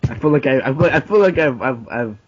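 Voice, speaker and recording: A man with a mid-pitched voice riffs casually as a co-host. His interjections are crude and sarcastic. The sound is dull and low in fidelity. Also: animated, fast, husky. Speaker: co-host commentator. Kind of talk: casual joking commentary. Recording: dull lo-fi recording.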